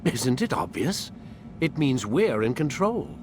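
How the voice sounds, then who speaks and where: An actor playing a smooth, condescending middle-aged male scientist in a video game, calm and superior. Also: medium pitch, measured pace. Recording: game scene with clear background noise.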